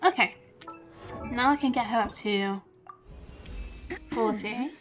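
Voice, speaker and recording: Slow-paced, higher-voiced woman streamer. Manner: chatty, giggly. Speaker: game streamer, host. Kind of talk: casual live gameplay commentary. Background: game music.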